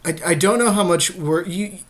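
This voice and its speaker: A man's voice: high-pitched, normal speed. A man co-hosting a card game podcast, chatting casually and advising on picks.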